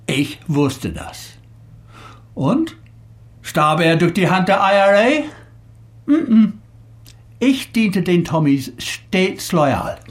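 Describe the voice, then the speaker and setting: A man's voice: sits high, lively intonation, drawn-out words, pauses often, heavily acted. A man playing an older, evasive suspect in a German radio crime drama, sardonic and dismissive in his delivery. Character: scornful, evasive older male suspect, loyal ex-informant.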